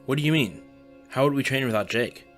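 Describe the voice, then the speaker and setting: A man's voice: medium pitch, brisk, a bit bright, soft background music, close to an everyday voice. An actor playing a weary young male student with magic powers who speaks bluntly and swears when tired.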